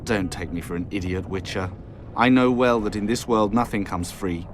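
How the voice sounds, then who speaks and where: A man's voice: lowish voice, normal speed, a little hiss or hum, close to an everyday voice. An actor playing a proud, bitter nobleman of royal blood in a video game, formal and sardonic in manner. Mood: grave, haughty. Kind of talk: video game cutscene dialogue.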